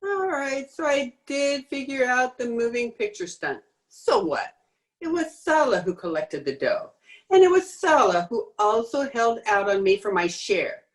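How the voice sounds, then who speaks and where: A woman's voice: on the high side, sing-song, measured pace. A woman playing a tough, defiant swindler in an old-time radio crime drama, slangy, sneering and cocky. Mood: defiant, gloating. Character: brassy, defiant female swindler, tough and mocking. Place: home recording over video call.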